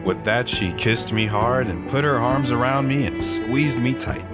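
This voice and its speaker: A man's voice: mid-range voice, a little slow, loud music behind the voice, lightly acted. An actor playing an older, reflective, world-weary man telling his life story in an audio drama.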